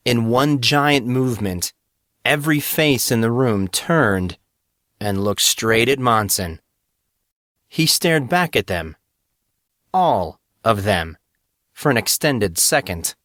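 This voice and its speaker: A man's voice: mid-range voice, lively intonation, takes it slowly, long pauses, fairly bright tone, dry recording, clean studio sound. A man reading scripted fantasy fiction as the narrator of a story podcast.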